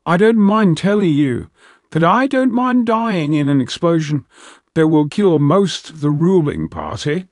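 A man's voice: a little high, very animated, measured pace, darkish tone, no echo, clean studio sound, pitched above the performer's own voice. A man voicing a haughty elder male cat-like alien villain, contemptuous and threatening in an audiobook. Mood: gloating menace. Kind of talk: audiobook character voice.

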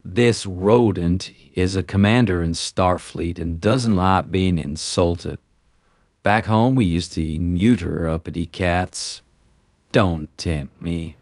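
A blunt, defiant human starship commander, as a male narrator plays him speaks in a deep, gravelly, unhurried voice. He needles his captors with dry jabs. The recording is close and dry. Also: darkish tone, no echo. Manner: blunt, needling, tough-talking. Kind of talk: audiobook character voice.

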